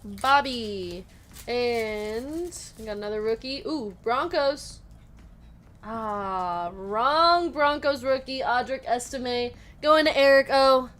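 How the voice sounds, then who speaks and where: A woman's voice: on the high side, slow pace, a bit bright. A woman hosting a live card break in casual chat, laughing often as she reads out players and numbers. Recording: music playing behind.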